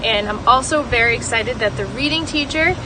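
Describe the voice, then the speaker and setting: A woman's voice: on the high side, fairly quick. A woman, a children's author, giving a personal greeting to young readers. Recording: noisy outdoor ambience behind voice.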